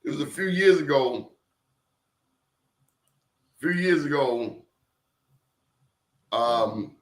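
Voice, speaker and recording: A man's voice: mid-range voice, lively intonation, takes it slowly, reverberant. A man hosting an interview show, telling stories in an emphatic, preachy way. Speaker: show host. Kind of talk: casual interview talk.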